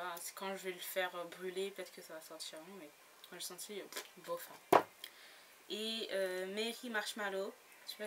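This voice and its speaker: A woman chatting casually about her latest book haul, giggly and full of fillers and restarts. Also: mid-range voice. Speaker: book blogger. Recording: noisy home recording.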